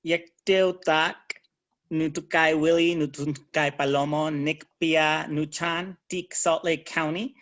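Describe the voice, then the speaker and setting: A moderator opening an online event with a slow, deliberate greeting. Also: mid-range voice, fairly even intonation, a bit bright. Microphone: video call. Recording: muffled video call audio.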